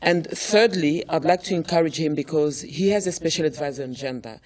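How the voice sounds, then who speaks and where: A woman speaking as a panel guest, making her argument in a measured, articulate way, point by point. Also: sits low, a little mellow. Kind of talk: earnest structured argument in a panel discussion.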